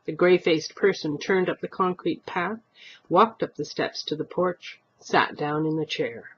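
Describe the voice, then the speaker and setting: A woman reading a short story aloud in an expressive narrating style. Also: low voice, measured pace, slightly rough, dull audio. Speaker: amateur story reader.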